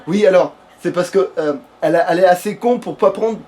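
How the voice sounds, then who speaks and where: A man's voice: sits high, fairly quick. A man chats casually while gaming, rambling in a slangy, jokey way. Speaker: let's play gamer. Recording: noisy home recording, steady background noise.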